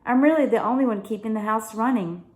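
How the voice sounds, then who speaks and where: A woman's voice: a little high, fast, echoey room, close to an everyday voice. A woman voicing a confident, reassuring young girl for a children's audiobook. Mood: apologetic.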